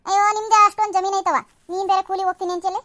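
A woman's voice: very high-pitched, little pitch movement, very fast, bright, forceful tone. Woman voicing a rustic village woman. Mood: friendly. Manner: calling out, rustic. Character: village woman calling on her friend.

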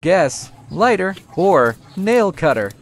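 A quiz host making short guessing-game announcements, recorded with very noisy clicking and rumble behind. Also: sing-song, slow pace.

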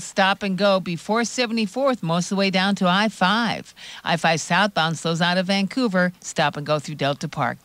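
A woman's voice: medium pitch, a bit bright, no room sound. American woman reading traffic, dry close sound. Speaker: radio traffic reporter. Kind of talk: live traffic report.

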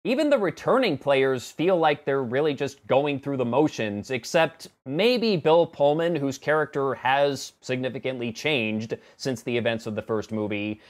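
A man in his thirties giving a conversational movie review to camera in a sarcastic, exasperated manner. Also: mid-range voice. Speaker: film review vlogger. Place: small home office room indoors.

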